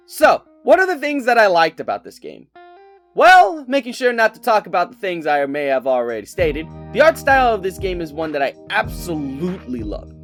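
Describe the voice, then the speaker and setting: A man giving a casual, scripted game review with an enthusiastic, playful and expressive delivery. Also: high voice.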